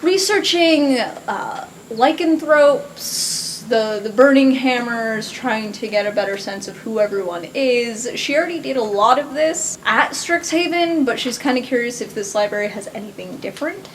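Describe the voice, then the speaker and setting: A woman's voice: a little high, animated, moderate pace, few pauses, slight background noise, rough recording. A woman who is a tabletop game player, casually describing what her character does in an improvised session.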